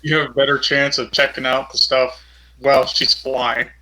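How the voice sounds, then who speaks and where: A man with a medium-pitched, bright voice. He speaks in a casual, conversational way as a player in a tabletop role-playing game. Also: flat delivery, moderate pace, rough recording.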